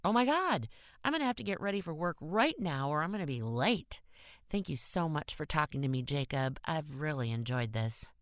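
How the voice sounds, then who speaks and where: A woman's voice: sits low, big pitch swings, normal speed, no echo, studio quality. A woman voicing a lonely, affectionate young divorcing woman in an audiobook story, confiding and gushing. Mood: flustered.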